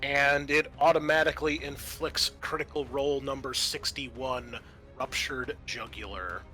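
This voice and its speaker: A man acting as game master, narrating and refereeing a horror roleplaying game in a halting way with stretched, dramatic emphasis. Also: mid-range voice, steady tone, pushed and bright. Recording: background music under the voice.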